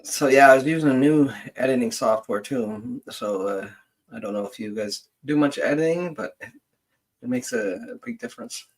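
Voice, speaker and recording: A middle-aged man hosting a casual livestream as a genealogist, rambling and thinking aloud in a halting way. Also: medium pitch, animated, creaky, rough recording.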